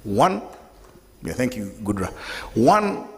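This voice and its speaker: A man in his fifties giving a lively, conversational talk to a live audience at an event, speaking to them directly. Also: mid-range voice, slow pace. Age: 50s. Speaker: guest speaker. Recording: long hall reverb.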